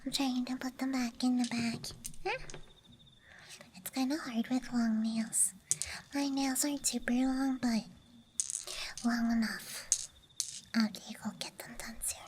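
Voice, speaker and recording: A woman softly whispering an ASMR show-and-tell in a hushed, unhurried murmur, with trailing phrases. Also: on the high side, fairly even intonation. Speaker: ASMR host. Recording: clear background noise, night ambience. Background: night campground ambience and clicking of handled objects.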